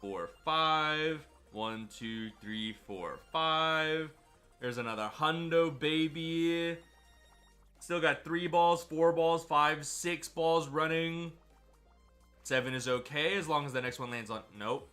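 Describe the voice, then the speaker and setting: A man streaming a game, chatty and excitable, sounding a bit stuffy from a cold. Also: on the high side, takes it slowly, faint music behind. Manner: chatty, excitable over wins. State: stuffy, slightly sick. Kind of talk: gameplay commentary.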